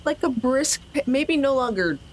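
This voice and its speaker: A woman's voice: sits high, lively intonation, normal speed, a little hiss or hum. A woman playing a tabletop roleplaying game, casually and hesitantly thinking her moves through aloud.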